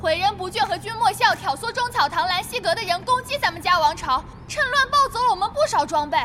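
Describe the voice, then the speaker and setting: A woman's voice: very high voice, expressive, quick pace. A woman voice actor playing a haughty, vengeful young guild leader in a Mandarin audio drama with a Mainland accent. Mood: bossy, indignant. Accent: Mainland Chinese Mandarin. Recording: music behind the voice.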